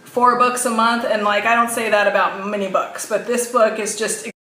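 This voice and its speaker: A woman's voice: on the high side, steady tone, moderate pace, pushed and bright, echoey room, rough recording. A woman co-hosting a casual talk show, enthusiastic and chatty, filling her speech with "like". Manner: enthusiastic, chatty, heavy use of "like". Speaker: co-host. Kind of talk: casual chat.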